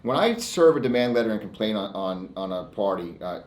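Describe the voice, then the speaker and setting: A man's voice: fairly low pitch, big pitch swings, fairly quick, a little mellow, a little hiss or hum. An American man, a lawyer presenting his own segment in an emphatic, defiant, informal monologue with frequent stops and repeats. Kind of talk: informal monologue to camera, reading out e-mails. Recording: echoey room.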